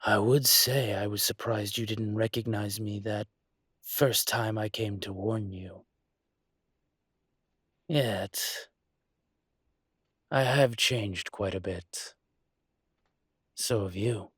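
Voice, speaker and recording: A man playing a teasing, smug but protective dragon shifter mafia boss in an intimate roleplay audio, speaking in a measured, deliberate way with dramatic pauses. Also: fairly low pitch, steady tone, fairly bright tone, slightly rough, no room sound, studio quality, full character voice. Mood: amused, teasing.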